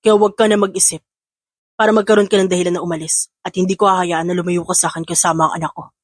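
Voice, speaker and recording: A woman's voice: fairly low pitch, level tone, very fast, no echo, clean studio sound, pitched below the performer's own voice, lightly acted. A woman with a Filipino accent voicing a possessive, brooding man in love in an audiobook romance, terse and mixing two languages. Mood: possessive, firm. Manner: terse, switches between English and Tagalog.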